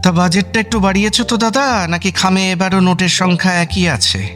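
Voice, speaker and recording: A man's voice: high voice, fairly quick, fairly bright tone, soft background music. A man playing a jokey, impatient middle-aged uncle in a radio drama, with a blustering delivery. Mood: jovial, impatient. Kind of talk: Bengali radio drama acting.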